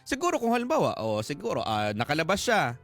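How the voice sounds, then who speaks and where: A man's voice: on the high side, huge pitch swings, fast talker, a bit bright. A man with a Filipino accent hosting a radio advice show, talking conversationally in Taglish and feeling out the phrasing, in a studio. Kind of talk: casual radio advice talk. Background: background music.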